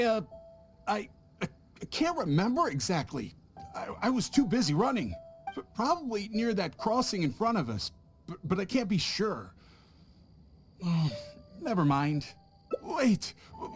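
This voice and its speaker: A man's voice: high-pitched, sing-song, a little slow, pauses often. Jittery stammering merchant guy, voice jumps all over, light music. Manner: stammering, flustered, overacted panic. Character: nervous male traveling merchant, a stammering liar. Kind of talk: video game voice acting.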